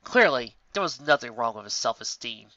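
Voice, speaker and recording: Bright-voiced man narrating a fantasy story. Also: medium pitch, sing-song, normal speed, no room sound, muffled recording. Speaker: fan fiction reader.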